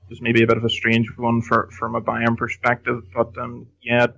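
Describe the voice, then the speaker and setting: A man discusses football analytically and casually as a podcast guest. His pitch barely moves and his voice has a marked creak. The sound is a little dull and lo-fi. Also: monotone, normal speed, a bit bright. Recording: slightly dull, lo-fi audio.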